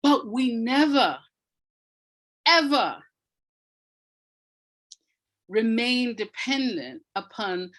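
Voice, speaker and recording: Slow-paced, deliberate older woman in conversation. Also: fairly high pitch, big pitch swings, fairly bright tone, low-quality recording. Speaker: guest, playwright and retired academic. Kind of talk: reflective interview conversation.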